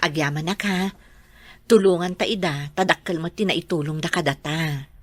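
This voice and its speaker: A woman's voice: sits low, normal speed, dry recording. A woman playing a polite, deferential housemaid and devoted mother in a radio drama, respectful and a little hesitant. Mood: motherly. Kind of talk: radio drama acting in dialogue.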